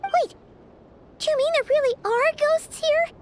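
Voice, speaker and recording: A woman voicing a tiny, chatty girl companion in a video game, excitable and easily spooked. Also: extremely high pitch, a little slow.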